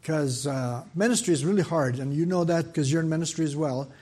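An older man, soft-voiced, giving a sermon as a guest preacher in a church, talking fast with frequent fillers and restarts. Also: on the high side. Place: church sanctuary. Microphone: microphone.

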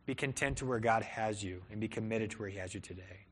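A man's voice: lowish voice, quick pace, fairly bright tone. A young man preaching a sermon, earnest and rising and falling for emphasis, who grew up in Peru and Cleveland. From Peru and Cleveland, Ohio. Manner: earnest, rises and falls for emphasis. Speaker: pastor.

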